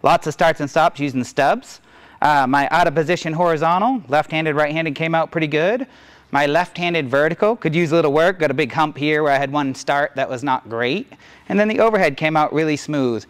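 A man's voice: a little high, fairly quick, clean tone. A middle-aged man working as a welding instructor, explaining a hands-on demonstration to camera in a plain-spoken, practical way. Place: large indoor welding shop.

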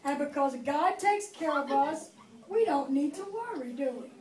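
A woman's voice: high voice, lively intonation, normal speed, noisy background. A middle-aged woman reading a Bible story to preschool children in a church classroom, with prompts for them to join in. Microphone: far camera. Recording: echoey room from a distance.

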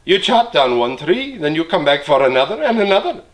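A man voicing a troop of monkeys with a high, excited and urgent voice. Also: fairly quick, rough recording.

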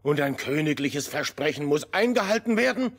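Ein Schauspieler spielt in einem Märchenhörspiel einen eitlen, hinterlistigen alten König, pompös und theatralisch. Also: male voice, a little high, very animated, normal speed. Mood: solemn, resigned. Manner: pompous, theatrical. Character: vain, scheming old fairy-tale king, mocking then whining. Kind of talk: radio play fairy tale acting.

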